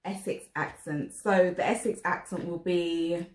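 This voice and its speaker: A woman's voice: on the low side, fairly even intonation, unhurried, darkish tone. A middle-aged woman hosting a casual family vlog at home, playful and laughing easily. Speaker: vlogger. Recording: roomy echo.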